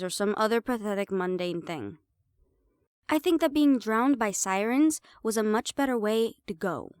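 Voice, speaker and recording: A woman's voice: medium pitch, no echo, natural voice. Woman acting a sly, teasing siren in dry close audio. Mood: callous, scornful. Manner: teasing, sarcastic, playful. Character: sly, defiant half-banshee siren woman, mocking her handler. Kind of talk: audio roleplay acting addressed to the listener.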